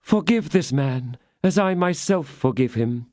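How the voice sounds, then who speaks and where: A man's voice: on the high side, very animated, moderate pace, a little mellow, dry recording, studio quality, pitched above the performer's own voice. A man doing a pleading tearful noblewoman prisoner. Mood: praying. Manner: pleading, sobbing, theatrical. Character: scheming woman prisoner feigning pious despair.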